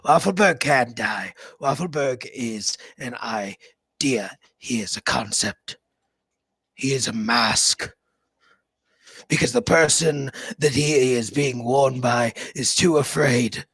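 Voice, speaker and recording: A man's voice: mid-range voice, slow, a bit bright, husky, dry recording. A man playing a tabletop game, speaking of his character in the third person in a stern way. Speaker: player.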